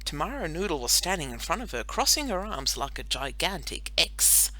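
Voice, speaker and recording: A woman reading a children's story as an audiobook narrator, in an expressive, playful and dramatic storytelling manner. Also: low voice, normal speed, low-quality recording.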